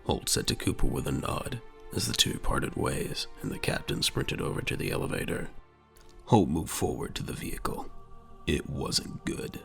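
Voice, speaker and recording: Deep, gravelly male narrator. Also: a bit bright. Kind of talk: dramatic scripted audio drama narration.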